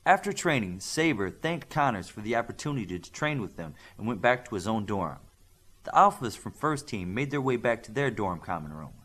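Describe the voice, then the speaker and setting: A man's voice: fairly low pitch, moderate pace. A man reading a scripted fiction story as an audiobook narrator, acting out the dialogue as he goes. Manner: animated reading that acts out dialogue.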